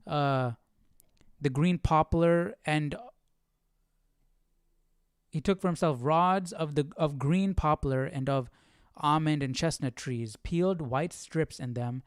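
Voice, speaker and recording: A man's voice: on the high side, takes it slowly, long pauses, clear, resonant tone, dry recording, studio quality. A man hosting a casual Bible study livestream, reading aloud and musing over it with informal questions. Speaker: livestream host.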